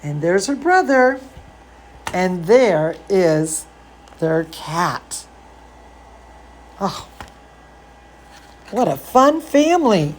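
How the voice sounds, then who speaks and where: A woman reading a picture book aloud to young children, gushing and expressive, with chatty asides to her listeners. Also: lowish voice, very slow, pauses often, a little hiss or hum. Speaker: dance teacher hosting a children's story time.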